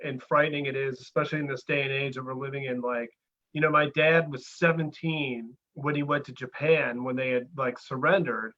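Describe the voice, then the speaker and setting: A man's voice: a little high, fairly bright tone. A man co-hosting in casual, slangy, blunt conversation, heard over a lo-fi remote connection. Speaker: co-host. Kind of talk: casual chat.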